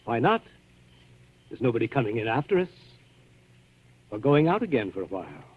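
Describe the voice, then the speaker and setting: Sinister coaxing suitor, male, mid pitch, rough husky tone, folksy, deliberate, insistent, 1940s radio drama, tinny muffled archive recording. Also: big pitch swings. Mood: curt, insistent. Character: sinister, coaxing New England suitor hiding menace. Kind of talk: 1940s radio suspense acting.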